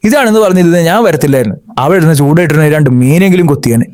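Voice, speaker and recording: A cocky young slacker, voiced by a man, snaps back in a Kerala accent. His voice sits a little high, and it sounds close and dry. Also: animated, rapid-fire, darkish tone, no echo, natural voice. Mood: sulky. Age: young adult. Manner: sulky, cocky comebacks. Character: lazy jobless young man, cocky and sulky.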